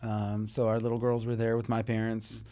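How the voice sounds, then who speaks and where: Earnest man from Israel, halting speech. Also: fairly low pitch, monotone, warm tone. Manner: earnest, halting with many restarts and fillers. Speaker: interview guest. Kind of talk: conversational interview.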